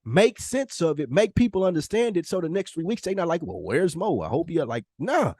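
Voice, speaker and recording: A man's voice: a little high, very animated, a little fast, a little mellow, slightly rough, dry recording, studio quality. A man co-hosting a podcast in casual, candid conversation, speaking earnestly and emphatically with plenty of swearing. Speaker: podcast co-host.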